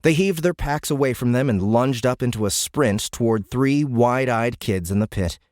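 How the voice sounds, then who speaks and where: A man narrating a military science fiction audiobook in a measured, grave, dramatic way. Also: medium pitch, a little mellow, dry recording, studio quality. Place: dry recording studio.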